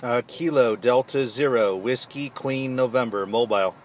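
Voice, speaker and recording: A man operating a mobile ham radio from his vehicle, reading call signs clearly and talking through his gear in a friendly way. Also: medium pitch, normal speed, very noisy. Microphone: handheld radio microphone.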